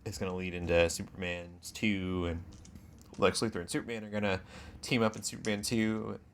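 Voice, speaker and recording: Male podcast co-host, lower-pitched, relaxed conversational delivery, some background noise. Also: moderate pace. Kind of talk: casual chat.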